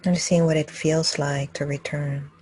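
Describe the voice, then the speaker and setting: A woman meditation teacher guiding a group gently and calmly, speaking in short, unhurried phrases with long pauses. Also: low voice, slightly rough. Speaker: meditation teacher leading a live group session. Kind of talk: guided meditation, slow and gentle instruction.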